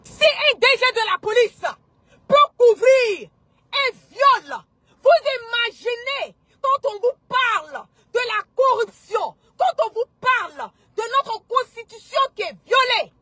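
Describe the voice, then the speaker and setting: A woman's voice: very high voice, lively intonation, takes it slowly, pushed and bright, dry recording. A woman with an Ivorian accent delivering an indignant, emphatic and mocking political rant as an online commentator, often repeating herself for effect.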